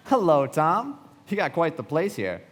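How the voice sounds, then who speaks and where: Casting: reflective young bond man narrating a radio play, lively higher voice, reverberant hall. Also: unhurried, full character voice. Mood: polite. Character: reflective well-bred young bond salesman narrating his memories. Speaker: lead actor and narrator. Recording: echoey hall, live stage.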